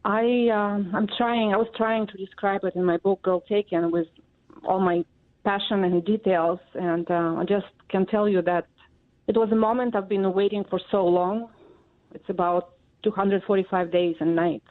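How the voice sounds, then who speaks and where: A woman in her forties with a Russian accent, a native Russian speaker giving halting answers with many pauses and fillers. She is heard over a thin, tinny phone line that cuts off the lows and highs, and her pitch sits in a middle range for a woman. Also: fairly even intonation. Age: 40s. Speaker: guest. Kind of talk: interview answers.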